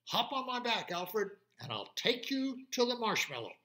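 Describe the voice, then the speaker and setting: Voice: mid-range voice, sing-song, normal speed, pushed and bright, voice pushed up. A storyteller doing a friendly big crow. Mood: helpful.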